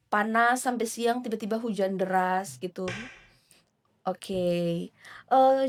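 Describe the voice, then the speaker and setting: A woman runs a relaxed interview. She talks in a chatty way, full of fillers, and laughs easily. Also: medium pitch. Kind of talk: casual interview chat.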